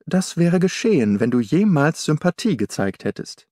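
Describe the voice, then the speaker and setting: A man's voice: fairly high pitch, sing-song, moderate pace, warm tone, no room sound, clean studio sound, pitched above the performer's own voice. A man voicing a composed, strong-willed young woman in an audiobook, forthright and proud. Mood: reproachful. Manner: determined, forthright.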